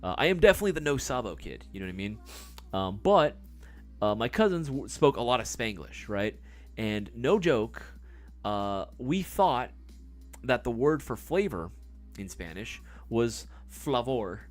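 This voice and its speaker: A man's voice: medium pitch, sing-song, measured pace, faint music behind. A man hosting a live stream in casual chat, reading viewer comments, rambling with many fillers and casual swearing.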